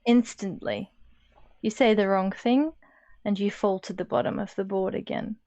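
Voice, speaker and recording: A woman co-hosting an intellectual discussion, speaking deliberately in short, broken phrases. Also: mid-range voice, fairly even intonation, dark tone, muffled recording. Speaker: co-host of a discussion stream.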